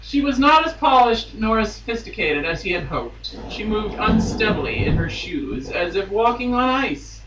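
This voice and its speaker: A man's voice: sits high, big pitch swings, normal speed, hardly any pauses. A man giving a theatrical dramatic reading of a romance novel, dropping into amused asides. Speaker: guest reader on a podcast. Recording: roomy, distant mic, faint noise.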